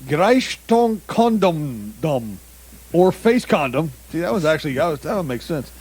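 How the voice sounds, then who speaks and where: A somewhat high-voiced man reads bizarre headlines to his co-host in loose, joking banter. He stumbles over foreign words and breaks into laughter mid-sentence. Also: very animated, unhurried, darkish tone, breathy edge, no room sound. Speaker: comedy podcast co-host. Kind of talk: casual comedic news banter.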